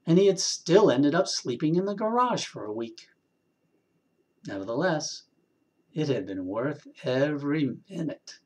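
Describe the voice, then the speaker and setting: A man reading a short story aloud in an expressive, wry storyteller's manner with deliberate pauses. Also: a little high. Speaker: story reader, actor.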